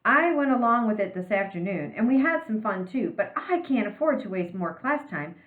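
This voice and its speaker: A woman's voice: medium pitch, animated, normal speed, reverberant, narrow, tinny audio, voice pushed up, close to an everyday voice. A woman voicing a firm, indignant female music teacher in a storybook, insistent and emphatic.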